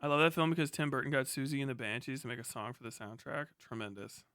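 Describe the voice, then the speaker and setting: A man in his thirties chatting casually as a livestream host, swearing freely and riffing in a sarcastic, animated way. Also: mid-range voice, fast, dry recording, studio quality. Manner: profane, animated, sarcastic ranting.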